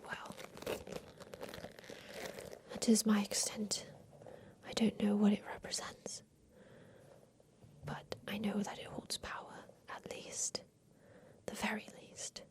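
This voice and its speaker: A woman playing a doting, eccentric mansion inventor in a soft, deliberate ASMR roleplay with formal, old-fashioned phrasing. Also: mid-range voice. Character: doting eccentric mansion inventor, plotting against her captor.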